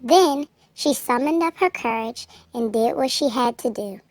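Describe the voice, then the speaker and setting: A woman reading a children's storybook aloud, with measured, expressive phrasing for young listeners. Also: fairly high pitch, no echo. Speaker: children's book reader. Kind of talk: storybook read-aloud narration.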